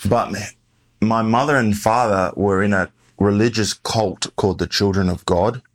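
A man's voice: lowish voice, steady tone, moderate pace. A man in his forties with an Australian accent, a podcast guest telling his life story in a rambling, self-correcting way. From born in the US, raised in Western Australia. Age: 40s. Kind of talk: casual interview talk.

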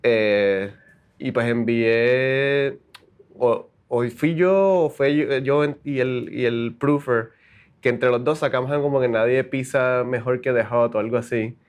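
A man from Puerto Rico with a Puerto Rican Spanish accent, a copywriter talking casually in an interview, halting and full of restarts with English words mixed in. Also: medium pitch, clean tone. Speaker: copywriter guest.

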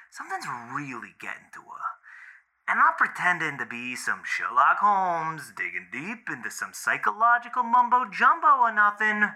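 Audio drama casting: guilt-ridden boyfriend, tense sharp voice, slow halting slangy delivery, big pitch swings. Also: male voice, exaggerated voice. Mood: guilty, confessing. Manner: halting, slangy, self-deprecating, swearing. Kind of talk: naturalistic audio drama, rambling monologue.

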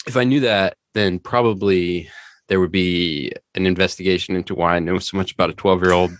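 Deadpan cohost guy, lowish voice that jumps around, dry mic. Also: brisk, no room sound. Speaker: podcast co-host. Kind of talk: casual chat.

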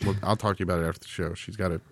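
A man's voice: low voice, fairly even intonation, very fast, vocal fry, no room sound, studio quality. A man co-hosting a podcast, talking casually with blunt, joking remarks. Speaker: podcast co-host. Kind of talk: casual banter and discussion.

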